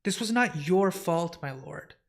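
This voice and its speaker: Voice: mid-range voice, expressive, a little slow, gravelly. A comic reader voicing a dutiful male librarian who serves a lord, reporting in a formal, respectful way. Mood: deferential.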